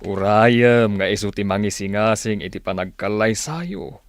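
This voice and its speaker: A man playing a calm, cautious father in an Ilocano radio drama, measured and admonishing, with an Ilocano accent. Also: fairly low pitch, dry recording. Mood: cautioning. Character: calm, cautious father advising his daughter.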